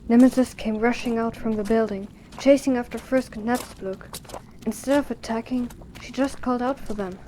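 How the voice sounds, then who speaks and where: Mellow woman narrating a dramatic story. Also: a little high, fairly even intonation, normal speed, a little hiss or hum, low-quality recording.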